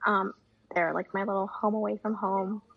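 A young woman with a gravelly, slightly husky voice, chatting casually as an interview guest. She talks easily, peppering her speech with fillers. The audio is dull and lo-fi. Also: mid-range voice, fairly even intonation, normal speed. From United States. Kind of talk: casual conversation.

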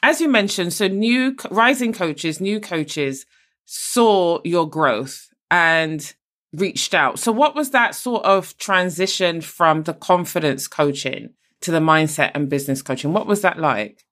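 A woman's voice: lowish voice, expressive, a little slow, a bit bright. A woman from Barbados hosting a podcast, encouraging and enthusiastic toward her guest. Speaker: podcast host. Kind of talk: casual interview.

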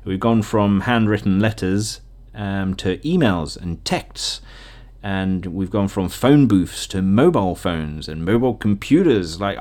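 A man's voice: lowish voice, a little mellow. Man chatting casually as a podcast host. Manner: jokey, laughs often at own remarks; acts out imagined quotes.

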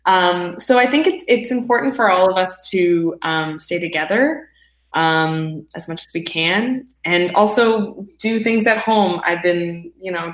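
A young Canadian woman with a slightly low voice talks casually as an interview guest. Her recording is roomy and echoing, as if made at home. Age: young adult. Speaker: guest, performing artist. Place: indoors at home.

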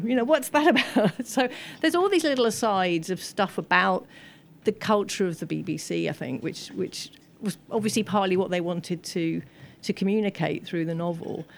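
Woman giving thoughtful, analytical film commentary. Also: medium pitch. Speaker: film expert guest on a discussion panel. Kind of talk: informal post-screening Q&A commentary. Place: live event at a film screening.

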